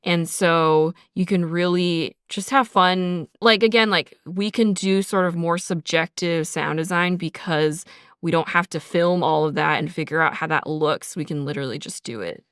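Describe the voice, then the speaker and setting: A woman's voice: lowish voice, normal speed, smooth, no echo, studio quality. A woman co-hosting a podcast in an informal, encouraging way, chatting casually with lots of "like". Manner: informal, encouraging, frequent "like" and "sort of". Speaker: podcast co-host and sound designer. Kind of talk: casual conversational podcast talk.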